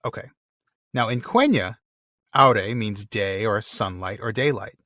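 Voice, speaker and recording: A man's voice: fairly low pitch, a little slow, pushed and bright, no room sound. A man co-hosting a podcast, reading listener mail and explaining word origins with enthusiasm, laughing often. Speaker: podcast co-host.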